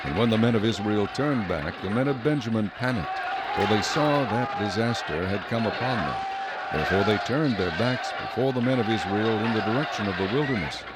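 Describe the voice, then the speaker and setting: Low-voiced male Bible narrator over background ambience. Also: expressive, a loud crowd, very noisy. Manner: solemn, measured storytelling. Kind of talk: scripted dramatized Bible narration.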